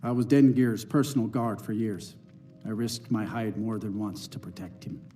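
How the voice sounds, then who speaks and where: An actor playing a stern, loyal Nord bodyguard in a fantasy game, solemn and proud. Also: male voice, fairly low pitch, normal speed, mellow, faint music behind, lightly acted. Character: stern, loyal Nord guard, honor-bound warrior. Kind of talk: video game character dialogue.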